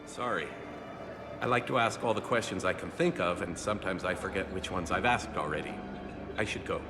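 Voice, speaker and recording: An actor playing an awkward, eager human fan in a video game cutscene, talking in a nervous, rambling way. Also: male voice, low-pitched, fairly quick, music in the background, lightly acted. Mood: earnest.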